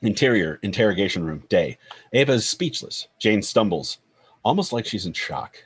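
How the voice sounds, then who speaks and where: A man narrates a screenplay, reading out scene headings and action lines. His voice is fairly low with a noticeably husky, unclear grain. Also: lively intonation, moderate pace. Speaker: narrator reading stage directions.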